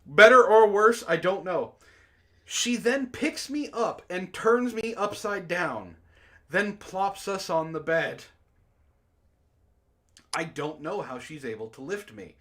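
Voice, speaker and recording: An animated male host reads prose aloud for a joking book club. His pitch swings widely as he moves between narration and exclaiming asides, and he breaks easily into laughter. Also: slow.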